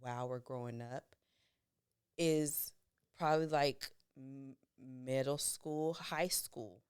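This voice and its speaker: A young woman, co-hosting a casual podcast chat with her best friend and telling stories animatedly, jumping high when she quotes herself. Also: steady tone, slow, darkish tone, smooth, no echo, studio quality. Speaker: podcast co-host.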